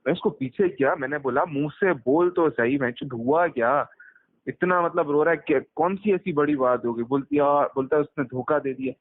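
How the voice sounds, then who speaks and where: A man's voice: on the high side, normal speed, no echo. A young man chatting casually and telling stories in slangy speech, quoting people as he goes, recorded over a phone call. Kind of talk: casual chat, telling anecdotes from youth. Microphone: phone line.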